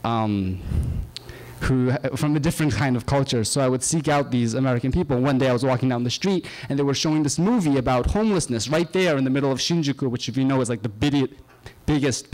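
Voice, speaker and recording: A young man from Japan tells a wandering personal story in a relaxed, chatty way. His voice sits at an ordinary middle pitch, and he stops often to fill gaps with uh and um as he finds his words. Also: low-quality recording. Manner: halting, full of uh and um, chatty and wandering. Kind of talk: casual personal storytelling to an audience.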